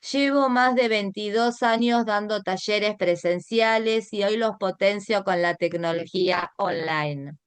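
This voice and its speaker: Mujer argentina de voz limpia y pausada. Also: female voice, mid-range voice, clean tone, no room sound. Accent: Argentine Spanish. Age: middle-aged. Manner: guiding, addresses listeners directly with rhetorical questions. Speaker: art teacher and online workshop host. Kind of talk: introductory online class presentation.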